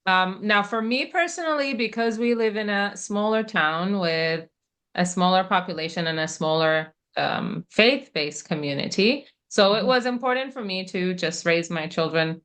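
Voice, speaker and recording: A middle-aged woman who speaks Persian natively, a guest answering interview questions in a thoughtful, hesitant way with many pauses. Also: medium pitch, expressive, fairly bright tone, smooth. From Middle East. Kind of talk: relaxed conversational interview.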